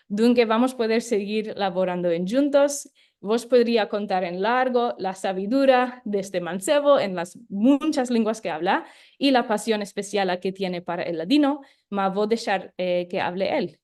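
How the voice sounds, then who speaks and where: A woman's voice: mid-range voice, darkish tone. Woman explaining a project, with fillers. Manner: explanatory, with frequent hesitation fillers. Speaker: project leader and co-presenter. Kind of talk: online talk presentation.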